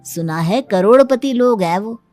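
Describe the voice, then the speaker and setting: A woman's voice: fairly high pitch, animated, measured pace, warm tone. A woman voicing an eager, reassuring matchmaker in a moral story. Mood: persuasive. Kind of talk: moral story character dialogue. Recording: music behind the voice.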